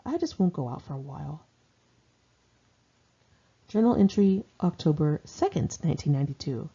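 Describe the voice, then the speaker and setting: Husky, mellow woman reading her memoir. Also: on the low side. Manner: wry, sardonic, conversational delivery. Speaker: author reading own memoir aloud. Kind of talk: informal audiobook narration of diary entries. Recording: dull lo-fi home recording.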